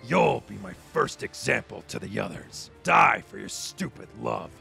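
A man voicing a cruel, contemptuous fish-man pirate villain in a manga dub, gravelly, menacing and sneering. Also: mid-range voice, expressive, measured pace, bright, forceful tone, heavily acted. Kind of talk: manga voice-over acting. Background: music behind the voice.

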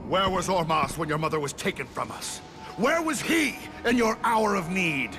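A middle-aged king from a video game, formal and full of anguish. His pitch is high for a man and swings widely with imperious drama. Music plays clearly behind him. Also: sing-song, normal speed. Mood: anguished, commanding. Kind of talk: video game cutscene acting.

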